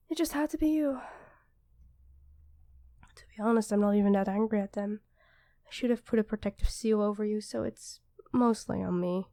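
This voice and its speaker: A woman's voice: a little high, mellow, dry recording, close to an everyday voice. A woman playing a feisty, protective demon queen who is a devoted girlfriend, commanding yet affectionate, in an ASMR roleplay.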